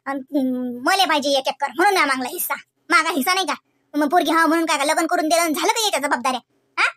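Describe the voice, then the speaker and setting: A woman's voice: high-pitched, fast talker, fairly bright tone. A woman playing a stubborn, demanding young village wife in a comedy cartoon, arguing insistently in a Varhadi Marathi accent. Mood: demanding, defiant. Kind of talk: amateur comedy cartoon dialogue.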